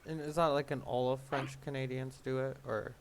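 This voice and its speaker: A man's voice: medium pitch, little pitch movement, normal speed. Inquisitive man over faintly noisy audio. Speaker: study group participant asking questions. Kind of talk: casual chat. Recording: faint background noise and clicks.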